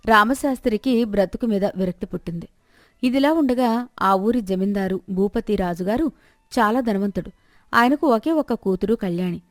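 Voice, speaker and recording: A woman's voice: medium pitch, no echo, clean studio sound. Woman narrating a scripted moral story.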